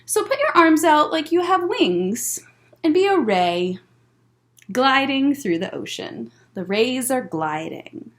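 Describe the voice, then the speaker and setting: A woman hosting a story time for young children, talking and reading aloud in an encouraging, animated way with simple, child-directed phrasing. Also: high-pitched, slow.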